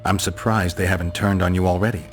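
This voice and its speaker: An actor playing a stoic, blunt monster hunter in a video game, with a terse, deadpan manner. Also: male voice, low-pitched, fast talker, lightly acted. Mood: dry, challenging. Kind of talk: video game dramatic dialogue.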